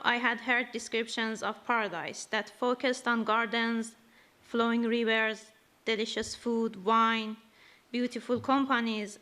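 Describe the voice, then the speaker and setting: A woman not speaking her first language gives a slow, earnest testimony at church, reading carefully from prepared notes. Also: fairly high pitch, fairly bright tone. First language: not English. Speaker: church member giving a testimony.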